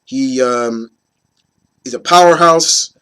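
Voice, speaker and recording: A hoarse man in his thirties, getting over a cold, hosting his opinion video in a rambling, casual way with many fillers and restarts. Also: mid-range voice, slow, fairly bright tone. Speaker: host of an opinion video series. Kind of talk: casual chat to camera.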